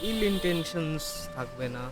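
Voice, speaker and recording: Casting: male game streamer, casual, rapid-fire, a little high-pitched, music behind. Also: lively intonation. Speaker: gaming streamer. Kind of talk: casual gameplay commentary.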